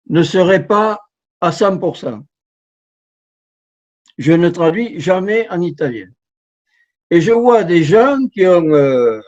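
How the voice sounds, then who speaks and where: Slow, halting middle-aged man on a video call. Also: sits high, a little mellow. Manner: halting, with frequent restarts and repeated words. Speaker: professional translator giving a talk. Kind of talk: informal conference talk. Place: home setup on a video call. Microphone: computer mic on a video call. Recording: dull lo-fi video call audio.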